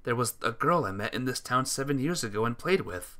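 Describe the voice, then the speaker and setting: Expressive man streaming, voice swinging widely. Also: medium pitch, brisk. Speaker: streamer playing a visual novel. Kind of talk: reading game text aloud, casual chat.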